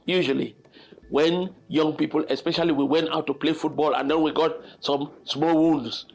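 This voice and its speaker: An older man hosting an informal storytelling session, reflecting aloud in an expressive, dramatic, exclamatory way. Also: on the high side, moderate pace, poor audio. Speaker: storyteller host.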